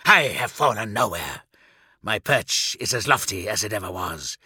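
A man voicing a bitter, fallen warrior villain in an audiobook, husky, sardonic and menacing as he taunts. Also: lowish voice, big pitch swings, normal speed, pushed and bright, dry recording, studio quality, heavily acted. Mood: bitter taunting.

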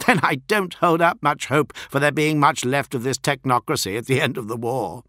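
A man's voice: mid-range voice, moderate pace, bright, forceful tone, dry recording, studio quality, voice pushed up, natural voice. A man voicing an arrogant, volatile superhuman warrior lord in an audiobook, with a haughty, commanding delivery. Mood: amused. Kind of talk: dramatic audiobook character voice.

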